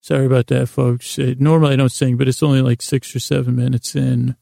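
A man hosting a bedtime podcast in a gravelly, creaky voice, rambling in a halting, meandering, gently silly way and often interrupting himself. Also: medium pitch, level tone, no echo, studio quality. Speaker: podcast host.

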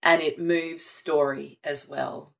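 Measured woman, low voice. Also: level tone, creaky. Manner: thoughtful, measured, earnest. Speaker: author. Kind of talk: reflective conversation.

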